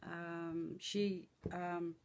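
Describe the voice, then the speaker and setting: Hoarse Dutch woman, slow rambling chat. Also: fairly low pitch, little pitch movement, darkish tone. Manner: rambling, halting with many fillers. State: hoarse from laughing and talking. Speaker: flosstube vlogger. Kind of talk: casual chat to camera.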